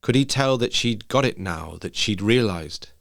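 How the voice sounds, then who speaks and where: A man narrating a literary ghost story in a measured, hushed manner of short phrases. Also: on the low side, lively intonation, creaky, no room sound, clean studio sound.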